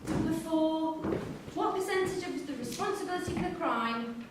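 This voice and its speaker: A woman's voice: extremely high pitch, expressive, normal speed. An actress in a staged reading playing a prison class teacher, firm and instructing as she keeps order. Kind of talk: live staged play reading. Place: theatre-pub performance space, recorded from a distance. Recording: echoey distant stage recording, loud noise.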